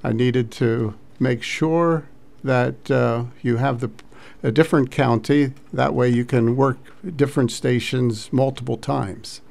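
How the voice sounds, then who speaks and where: A man giving an informal vlog narration as a radio hobbyist, speaking in halting, hesitant phrases. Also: mid-range voice, steady tone, gravelly, a little mellow, a little hiss or hum. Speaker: amateur radio operator recounting a contest weekend.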